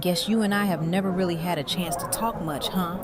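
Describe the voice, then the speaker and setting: An actress playing a guarded, thoughtful, reserved woman with a measured manner in an audio drama. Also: on the low side, steady tone, close to an everyday voice. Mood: tentative. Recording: crowd chatter behind.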